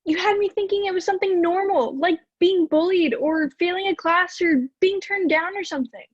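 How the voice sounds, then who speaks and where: A woman plays a bewildered, indignant runaway girl in a stage drama. Her voice is high and incredulous. It sounds close and dry, slightly dulled. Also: normal speed, dry recording, highs cut off. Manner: incredulous, pressing with questions. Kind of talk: amateur stage play acting.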